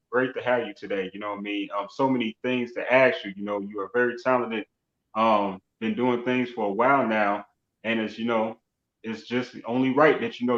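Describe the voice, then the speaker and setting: A man's voice: fairly low pitch, a bit bright. A man hosting an online celebrity interview show, effusive and often dropping conversational tags as he talks. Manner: effusive, repeats "you know what I mean". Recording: roomy echo, dull lo-fi sound.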